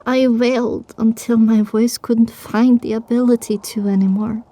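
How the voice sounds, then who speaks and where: Woman playing high-voiced grieving young pony mare. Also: steady tone, mellow, no echo. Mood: broken, sorrowful. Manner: emotional, halting, drawn-out delivery. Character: grieving, determined young female pony recounting her tale. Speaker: narrator. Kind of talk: first-person dramatic audiobook narration.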